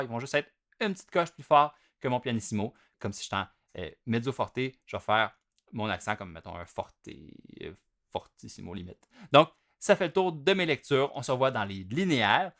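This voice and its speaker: Man, Québécois accent, young adult, casual drum teacher, mid-pitched voice, relaxed and chatty. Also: normal speed. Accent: Québécois French. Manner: chatty and relaxed, chants note rhythms aloud with strong loud-soft contrasts. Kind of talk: casual instructional explanation.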